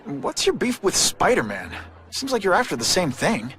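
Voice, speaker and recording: A man's voice: high voice, wildly swooping pitch, normal speed, a bit bright, a faint crowd, heavily acted. A man playing a young, wisecracking but earnest superhero in a video game, quippy and informal. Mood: probing. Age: young adult. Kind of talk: video game cutscene acting and hero monologue. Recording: clear noise behind the voice.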